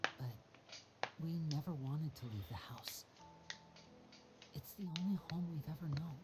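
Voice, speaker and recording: A man's voice: a little high, expressive, measured pace, low-quality recording. An actor voicing a young male magician speaking respectfully and earnestly to his adoptive parent. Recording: game audio with music behind.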